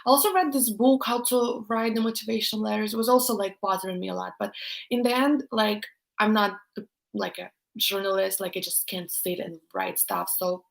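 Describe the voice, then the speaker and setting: A woman's voice: medium pitch, gravelly. A young woman in her twenties with a Russian accent, chatting casually on a livestream and answering viewer questions with many fillers and restarts.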